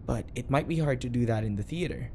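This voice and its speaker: A man's voice: mid-range voice, fast talker, dark tone, slight background noise, natural voice. A man playing a flustered, obsessively devoted bodyguard in an audio roleplay, earnest and nervously backpedalling. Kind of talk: audio roleplay acting addressed to the listener.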